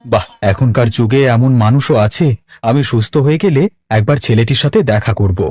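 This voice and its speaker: A man voicing a gentle, ailing middle-aged village father in a cartoon, with a questioning manner. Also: mid-range voice, brisk, dry recording, lightly acted. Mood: concerned. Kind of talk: animated story voice acting.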